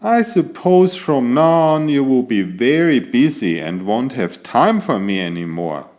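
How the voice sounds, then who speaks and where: An elegant storybook tomcat played by a man who is very pleased with himself. He speaks slowly, with pitch that rises and dips freely, and talks straight to the children listening. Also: very animated, darkish tone. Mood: surprised. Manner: self-admiring, theatrical, addresses young listeners directly. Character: elegant, vain, chatty tomcat telling his adventures. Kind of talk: animated children's picture-book narration.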